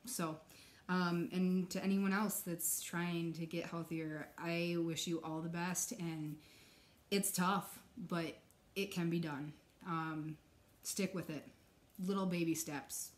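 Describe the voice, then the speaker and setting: A woman's voice: on the low side, steady tone, slow. A young adult woman vlogging from her couch, chatting casually with many ums, her voice still recovering from a cough. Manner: chatty, many ums, soft nervous laughs. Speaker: stitching vlogger. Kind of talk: casual chat to camera. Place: living room couch.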